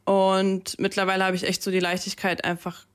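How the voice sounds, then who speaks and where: A woman's voice: mid-range voice, monotone, a little fast, clean tone. Chatty young woman DJ, radio guest. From Germany. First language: Russian. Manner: chatty, many fillers and English slang words. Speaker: DJ, interview guest. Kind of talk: casual radio interview. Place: campus radio studio.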